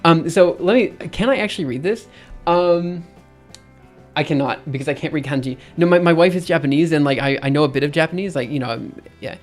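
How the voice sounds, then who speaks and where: A man's voice: fairly high pitch, brisk, soft background music. Rambling man chatting on a live stream. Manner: rambling, thinks aloud with many fillers and restarts. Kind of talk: casual live-stream chat.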